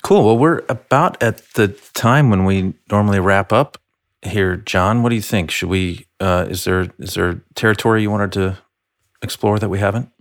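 Man, deep low pitch, dry studio-like sound, podcast co-host, casual, halting, thoughtful phrasing. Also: no room sound. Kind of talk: casual conversation.

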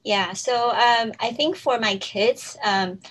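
A woman's voice: a little high, takes it slowly, a bit bright, slightly rough. A woman whose first language is Mandarin, a mother talking thoughtfully in a casual interview and laughing often.

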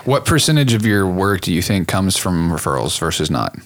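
A man's voice: low-pitched, a little fast. A man hosting a casual interview, a photographer himself, talking in a rambling way full of fillers. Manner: rambling, many fillers like "like", lively when quoting others. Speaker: podcast host, photographer.

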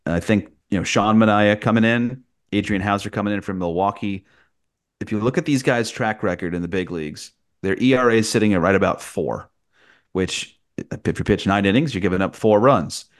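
A man's voice: lowish voice, a little fast. A man who works as a sports broadcaster, giving conversational sports analysis as a podcast guest.

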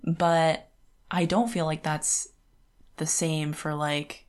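A woman with a fairly low voice speaks as a podcast co-host. She talks casually and with opinions, in a loose conversational style full of filler "like". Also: flat delivery, slow pace. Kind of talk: casual book discussion.

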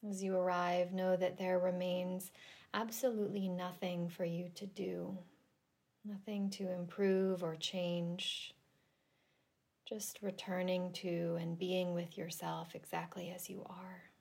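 A woman leading a guided relaxation meditation in a gentle, soothing, deliberate manner with long pauses. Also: lowish voice, little pitch movement, vocal fry. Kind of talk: guided yoga nidra meditation.

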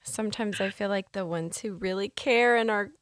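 A woman with a mid-range voice hosts a casual podcast interview. She is effusive and quick with compliments. The sound is dry, with little room in it. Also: medium pitch, no room sound. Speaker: podcast host.

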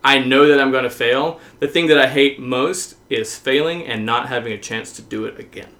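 An American man from the South talking casually and openly about himself, haltingly, with "like" as a constant filler. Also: mid-range voice, pushed and bright, a little hiss or hum. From southern United States. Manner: halting, heavy use of "like" as filler; open, self-revealing. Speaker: podcast guest.

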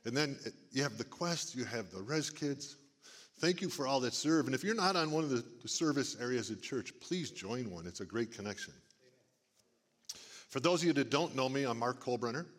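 A middle-aged man with a big booming voice, speaking to a church congregation in a folksy preaching manner. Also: animated, normal speed, fairly bright tone. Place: church sanctuary. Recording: long reverb of a church hall. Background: church hall.

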